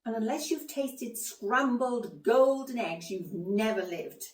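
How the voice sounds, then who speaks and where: A woman's voice: on the high side, lively intonation, slow pace. A woman playing a widowed giantess who hosts an amateur cookery video, flustered, chatty and cheerfully man-eating. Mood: chatty, cosy. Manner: chatty, self-conscious, muttering asides then loud exclaiming. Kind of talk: comic monologue as a cookery video. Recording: roomy, echoey, distant microphone.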